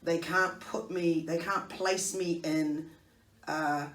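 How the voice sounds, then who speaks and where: Host with a New Zealand accent, thinking aloud, mid pitch, roomy studio with a distant mic. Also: fairly even intonation, takes it slowly, a bit bright. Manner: reflective, thinks aloud with fillers and restarts. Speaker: podcast and radio host. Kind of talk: conversational interview. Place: radio studio.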